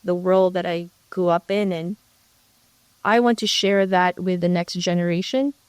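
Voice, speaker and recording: Guest woman from the Philippines, reflective storyteller, smooth clear voice, dry close sound. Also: on the low side, unhurried, dry recording. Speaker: guest, ministry co-leader. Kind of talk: conversational interview.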